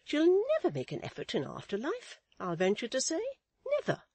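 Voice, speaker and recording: A high-pitched, swooping society matron from a Victorian novel. She is pompous and fretful, declaiming each point emphatically and lamenting with great self-pity. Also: big pitch swings, a little slow, a little mellow, breathy edge, dry recording, heavily acted. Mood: confiding disdain. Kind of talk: dramatized Victorian novel reading.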